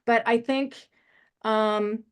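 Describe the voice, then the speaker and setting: A woman's voice: on the high side, drawn-out words, clear, resonant tone. Middle-aged woman giving encouraging feedback. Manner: encouraging, animated, digressive. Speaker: script feedback panellist. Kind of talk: casual spoken feedback on a screenplay.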